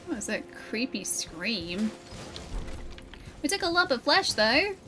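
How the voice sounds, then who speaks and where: A woman's voice: on the high side, sing-song, takes it slowly, loud music behind the voice. A woman with a mild Australian accent and a slightly nasal voice, chatting casually and laughing often while she plays and streams a game. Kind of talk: casual gameplay commentary.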